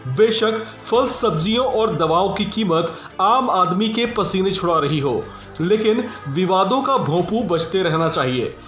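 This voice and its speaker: A man's voice: sits high, a bit bright. A man presenting scripted news narration with an emphatic, rhetorical delivery. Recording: roomy voice-over with music behind. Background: music.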